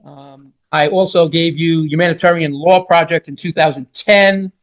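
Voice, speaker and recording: A man's voice: a little high, fairly even intonation, normal speed. A man speaking as a lawyer in court, politely addressing the judge and citing cases. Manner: deferential, polite to the bench. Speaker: lawyer arguing before a judge. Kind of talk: courtroom argument, citing case law.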